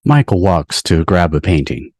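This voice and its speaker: Very deep-voiced man reading stage directions. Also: sing-song, moderate pace, a little mellow. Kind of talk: scripted narration for table read.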